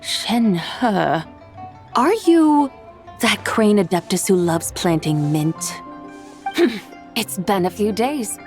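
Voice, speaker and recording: A woman's voice: mid-range voice, expressive, drawn-out words, a little mellow, faint music behind. A woman voicing a haughty, imperious female adeptus spirit with old-fashioned formal phrasing in an anime video game. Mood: haughty teasing.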